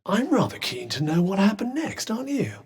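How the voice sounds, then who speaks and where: An actor voicing a sardonic talking magical bracelet in a video game, with a dry, arch delivery. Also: male voice, sits high, very animated, a little fast, husky, heavily acted. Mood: wry.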